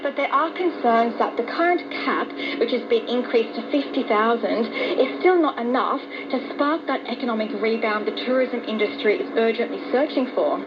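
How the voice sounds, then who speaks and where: A woman's voice: a little high, normal speed, hardly any pauses, noisy background. A woman giving a news report as a correspondent, on a dull shortwave radio broadcast. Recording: dull shortwave radio with loud musical interference.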